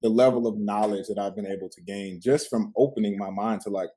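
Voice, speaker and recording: Husky low-voiced male podcast host. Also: a little fast, mellow. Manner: reflective, peppers talk with "like" and "right?". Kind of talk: casual conversational interview.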